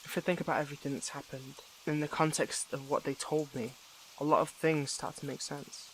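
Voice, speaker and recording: Man acting a higher-voiced, brooding young male superhero. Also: little pitch movement, brisk, heavy background noise, lightly acted. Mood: weary, brooding. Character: weary, defiant young male superhero with water powers. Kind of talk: dramatic audio roleplay monologue, addressing the listener.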